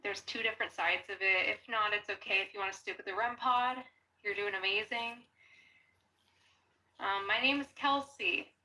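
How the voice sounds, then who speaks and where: A woman's voice: mid-range voice, fairly even intonation, moderate pace, pushed and bright. A woman speaking casually as a paranormal investigator, asking questions aloud during a ghost hunt. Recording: distant mic, noisy ambience.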